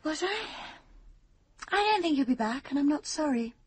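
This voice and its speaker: A woman's voice: high voice, animated, normal speed, a little mellow. An actress with a British accent playing a chatty, flustered, affectionate middle-aged mother in a radio drama. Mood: flustered, coy.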